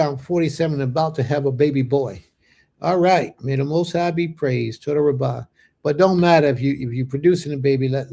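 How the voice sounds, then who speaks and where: A man in his late thirties with a gravelly, mellow voice, hosting a faith livestream. He chats casually with his viewers, reading their comments aloud and answering each one. He sprinkles Hebrew blessings through his talk. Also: mid-range voice. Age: late 30s. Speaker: host of a religious fellowship livestream.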